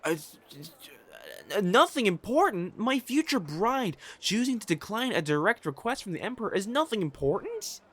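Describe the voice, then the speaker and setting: Man acting haughty, flustered matchmaker, wildly swooping high voice. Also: big pitch swings, unhurried, few pauses, a bit bright, slightly rough, full character voice. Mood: scolding, flustered. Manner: pompous, formal diction, stammering when flustered. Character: haughty, flustered, lovestruck young male divine matchmaker in imperial China. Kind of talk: romantic audio roleplay, theatrical monologue.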